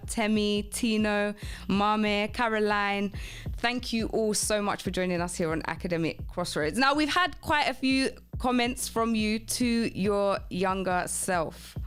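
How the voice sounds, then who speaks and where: A woman's voice: mid-range voice, smooth. A woman hosting a radio show, chatting to listeners by name and reading out their comments in an encouraging, chatty manner.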